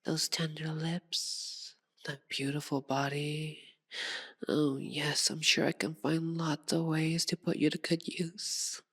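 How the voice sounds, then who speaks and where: Slow, low-voiced sadistic vampire lady. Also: little pitch movement, a bit bright, heavily acted. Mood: seductive, possessive. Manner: slow, teasing, purring drawl with breathy whispers and mocking endearments. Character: sadistic, possessive female vampire lord, seductive and menacing. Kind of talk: ASMR roleplay monologue, theatrical.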